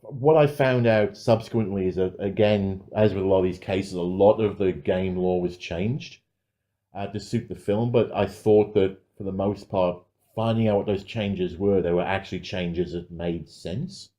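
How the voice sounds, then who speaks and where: A man with a fairly low, dark and relaxed voice co-hosts a podcast. He talks films casually and informally, often correcting himself as he goes. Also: normal speed. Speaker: podcast co-host. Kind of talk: casual film review chat.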